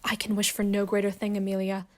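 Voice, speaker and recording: A woman playing a dignified, resolute wronged wife in an audio drama, measured and formal in period diction. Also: medium pitch, monotone, close to an everyday voice. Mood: apologetic, sincere. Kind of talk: stage-play acting in an audio drama.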